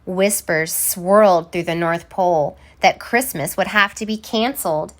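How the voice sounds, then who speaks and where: A woman reading a children's story aloud in an expressive, theatrical way, landing deliberately on key words. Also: on the low side. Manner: theatrical, deliberate, emphatic word by word. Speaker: storybook reader.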